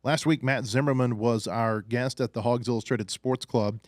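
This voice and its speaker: Man, podcast host, medium pitch, casual announcing, hesitant pauses, dry close recording. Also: steady tone, no echo. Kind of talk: scripted ad read and casual show introduction.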